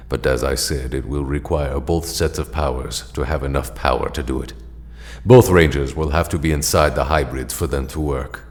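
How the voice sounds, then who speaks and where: An extremely low-voiced, grave ancient ally played by a man in an audio drama. He speaks formally and with deliberation, weighing out each phrase. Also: long reverb tail.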